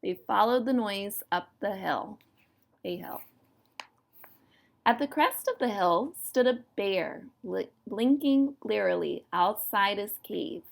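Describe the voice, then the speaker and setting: A woman narrating a children's story in an expressive read-aloud style. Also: mid-range voice, slow, vocal fry.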